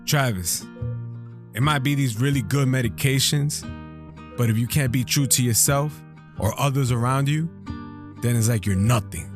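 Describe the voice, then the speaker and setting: Proud, tender middle-aged dad played by a man, medicated yet earnest and deliberate, audio drama, guitar clearly behind. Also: medium pitch, lightly acted. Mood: fatherly, sincere. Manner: earnest, deliberate advice-giving. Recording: guitar music under the voice.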